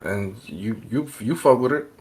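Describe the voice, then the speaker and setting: A man's voice: on the low side, unhurried, darkish tone, husky. A man speaking as an upcoming rapper being interviewed, casual and slangy, with some swearing. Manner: slangy, informal street talk with swearing. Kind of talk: casual chat.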